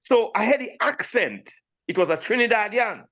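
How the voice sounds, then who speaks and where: A man in his sixties with a St. Lucian accent, telling his story casually as an interview guest, animated and repeating phrases for emphasis. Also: high-pitched, normal speed. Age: 60s. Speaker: interview guest, steel pan player.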